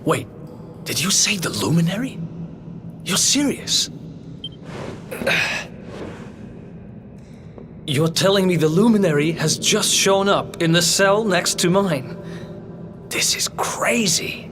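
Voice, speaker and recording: An actor playing a roguish, streetwise young prisoner in a fantasy video game, talking in a wry, informal way. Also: male voice, high-pitched, expressive, takes it slowly, long pauses, over music. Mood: astonished, urgent. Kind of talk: video game cutscene acting.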